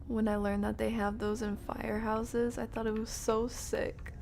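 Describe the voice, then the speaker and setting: A woman's voice: on the high side, creaky, dark tone, a little hiss or hum. A woman giving casual, playful live game commentary in slangy language, often murmuring to herself and slipping into whispers. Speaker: game streamer.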